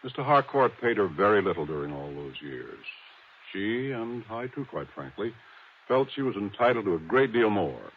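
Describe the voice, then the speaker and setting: An actor playing a proper, earnest attorney in a 1950s radio mystery, courteous, formal and emphatic. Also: male voice, lowish voice, big pitch swings, moderate pace, slight background noise. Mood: explaining. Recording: thin phone-like vintage radio recording.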